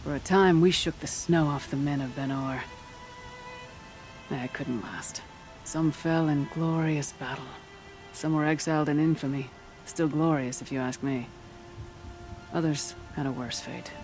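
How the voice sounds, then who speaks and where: A woman's voice: low voice, measured pace, a little mellow, rough recording. An old huntress who keeps the trials in a video game. She talks in a wry, blunt, instructive way, with faint music underneath. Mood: wry, proud. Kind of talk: video game dialogue acting.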